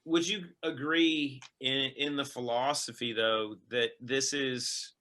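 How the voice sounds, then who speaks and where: A male host talks in a bright, forceful voice at an ordinary pitch. He explains ideas earnestly, padding sentences with fillers and rephrasings. His questions run long before he reaches the point. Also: fairly even intonation, takes it slowly. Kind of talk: interview questions and commentary.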